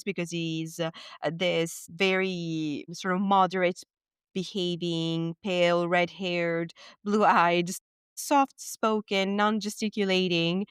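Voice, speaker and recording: A woman with an Italian accent hosting a podcast, talking thoughtfully in English with pauses and small laughs. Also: lowish voice, clean tone, dry recording, clean studio sound. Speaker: podcast host. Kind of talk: reflective commentary.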